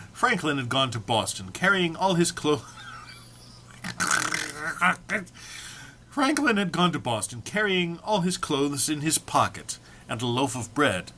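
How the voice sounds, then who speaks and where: A man's voice: on the high side, sharp tone, a little hiss or hum. A man giving an amateur, theatrical dramatic reading of a humorous text, prone to amusement and stumbles. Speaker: amateur reader.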